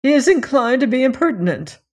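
A woman voicing a condescending, severe elderly society lady in an audiobook story, speaking with deliberate disapproval. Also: medium pitch, very animated, a little mellow, exaggerated voice.

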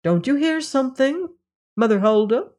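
A woman's voice: sits high, lively intonation, moderate pace, a little mellow, pitched above the performer's own voice. A woman voicing a playful, cheerful male cat in a storybook tale, eager and coaxing.

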